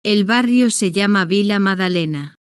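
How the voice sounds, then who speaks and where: A woman narrates a beginner's story at a slow, deliberate pace, setting each short sentence apart. Her voice is smooth and well-rounded, with a mid-range pitch. The sound is close and dry, with no trace of the room. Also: no room sound. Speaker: language-learning narrator.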